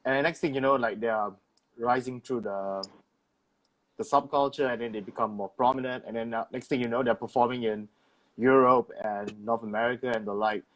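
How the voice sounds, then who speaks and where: Guy hosting a chill chat, says like a lot, rambly questions, normal pitch. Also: steady tone, fairly quick, a bit bright, low-quality recording. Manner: rambling, many "like" fillers. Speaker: host. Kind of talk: casual interview.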